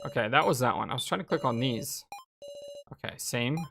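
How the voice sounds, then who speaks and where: A woman's voice: extremely low pitch, expressive, a little fast, gravelly, music in the background. A woman chatting casually and expressively as she hosts a let's play, reading and commenting on a game. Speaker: let's play host reading visual novel lines aloud.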